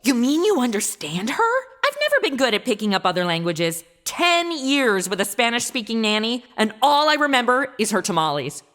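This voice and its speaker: A flippant modern American sidekick in an audio comedy. Her young voice is high, bright and very animated, full of slang and excitable sarcasm. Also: normal speed, few pauses. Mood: sarcastic. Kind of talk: comedic audio drama acting.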